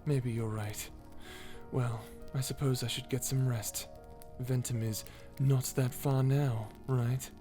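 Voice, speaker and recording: A woman's voice: a bass voice, steady tone, slow pace, dark tone, faint music behind, pitched below the performer's own voice. A woman voicing an edgy, sly male vampire in a character audio roleplay, with a smug, drawling, sarcastic manner. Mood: incredulous, sarcastic.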